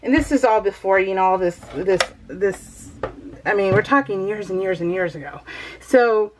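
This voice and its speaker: A woman's voice: medium pitch, normal speed, background noise. A middle-aged American woman chatting casually as a vlogger, telling personal stories in a rambling, emphatic way with exasperated asides.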